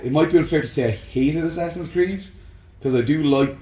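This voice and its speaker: A man's voice: a little high, fairly quick, dark tone. A man co-hosting a casual, rambling podcast chat, giving his opinions. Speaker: podcast co-host. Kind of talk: casual chat about video game films. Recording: roomy echo, music underneath.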